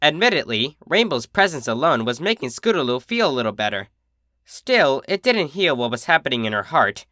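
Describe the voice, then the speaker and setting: A narrator reads a scripted story in a bright voice. The pitch moves about in a lively way, and the recording is dry and close. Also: moderate pace, no echo. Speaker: fan fiction reader and narrator.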